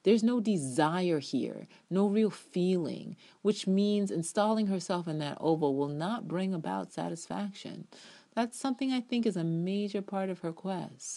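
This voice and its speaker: A woman's voice: lowish voice, mellow. A woman reviewer giving a dramatic reading of a written TV review, emphatic and opinionated, drawing out key words and adding offhand asides. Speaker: TV episode reviewer.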